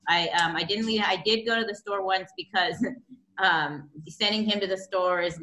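A woman in her thirties co-hosting a video call from home, telling her story in an animated way and acting out the quotes. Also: mid-range voice, moderate pace, a little hiss or hum. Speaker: co-host. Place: living room at home. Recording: echoey room audio.

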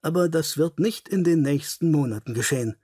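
A man's voice: a little high, fairly quick, dark tone, breathy edge, no room sound, studio quality. A man voicing an earnest, melancholy, gracious English lord in an audiobook, formal, sincere and dignified. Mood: grateful, gracious.

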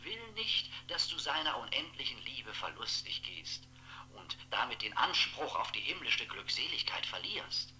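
A sharp, fervent telephone priest. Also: male voice, medium pitch, sing-song, moderate pace. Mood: fervent admonishing. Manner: solemn, fervent, admonishing. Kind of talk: recorded sermon in 1960s radio play. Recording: humming, noisy telephone line.

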